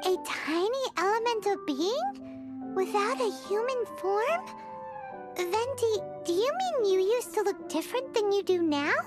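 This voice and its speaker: A woman voicing a tiny, cheeky, excitable fairy girl in a video game, with a squeaky childish voice and exaggerated delivery. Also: measured pace, music in the background. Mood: curious, surprised. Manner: speaks of herself in third person, indignant, exaggerated. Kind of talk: video game cartoon acting.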